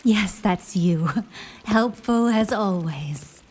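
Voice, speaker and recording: A woman playing a guarded young war hero in a fantasy audio drama, careful, courteous and formal, with a husky voice. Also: medium pitch, extremely slow, darkish tone. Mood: strained politeness.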